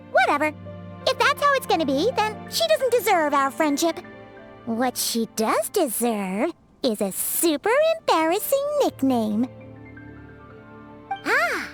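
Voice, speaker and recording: A very high, sing-song little fairy girl, bouncing all over her pitch as she chatters excitedly about herself in the third person. She draws out her words, and soft game music plays behind her. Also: full character voice. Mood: mischievous. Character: tiny chirpy female fairy companion, cheeky. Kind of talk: video game voice acting.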